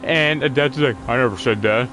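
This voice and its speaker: A man's voice: mid-range voice, moderate pace, background noise. A man hosting a casual talk, retelling grievances in an aggrieved, repetitive way. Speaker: channel host. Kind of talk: casual complaint chat.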